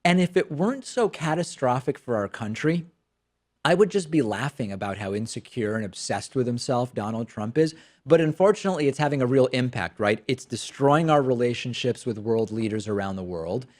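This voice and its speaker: A man's voice: mid-range voice, fairly quick, darkish tone. A man hosting a political commentary show, speaking English as a second language after growing up with Spanish. He talks in a sardonic, incredulous, emphatic way and also reads a sponsor ad. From Spanish-speaking country, raised in the United States from childhood.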